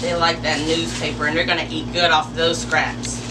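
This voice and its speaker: A woman hosting an informal step-by-step how-to demonstration in a deliberate, instructional way, picked up by a camera a few metres away. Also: sits low, little pitch movement. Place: enclosed porch or sunroom. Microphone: camera mic a few metres away. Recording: distant mic, clearly noisy with background hum.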